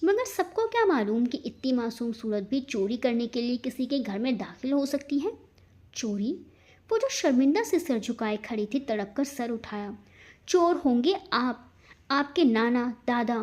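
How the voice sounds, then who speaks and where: A woman's voice: fairly high pitch, very animated, normal speed, few pauses, mellow. A woman reading scripted fiction as a narrator, in a dramatic storytelling style.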